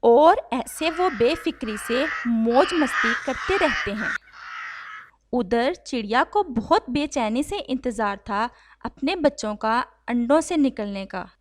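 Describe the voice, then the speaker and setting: A woman's voice: on the high side, expressive, takes it slowly, noisy background. A woman reading scripted narration for a children's cartoon story.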